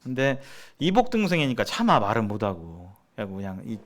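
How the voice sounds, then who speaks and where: A man's voice: on the low side, wildly swooping pitch, normal speed. A man teaches church members in a conversational, sermon-like way, explaining points and putting questions to his listeners. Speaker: church seminar speaker.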